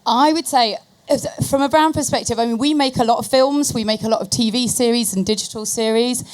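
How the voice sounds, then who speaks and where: A woman's voice: fairly high pitch, brisk, low-quality recording. Woman panelist with a mid-range voice. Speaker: media agency panelist advising brands. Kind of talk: panel discussion talk.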